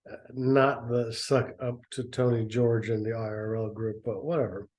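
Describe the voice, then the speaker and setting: A man reads a story aloud and keeps stopping to mock it with dry, sarcastic asides. His voice is mellow and dark in tone, at a middling pitch. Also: little pitch movement, moderate pace. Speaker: reader and commentator. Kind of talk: dramatic reading with sarcastic commentary.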